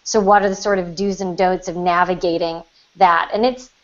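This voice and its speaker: A woman's voice: fairly low pitch, fairly even intonation, normal speed, muffled recording. A woman chatting casually on a podcast as a writing teacher, thinking aloud as she explains. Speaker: writer, writing teacher and magazine editor. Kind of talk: casual chat.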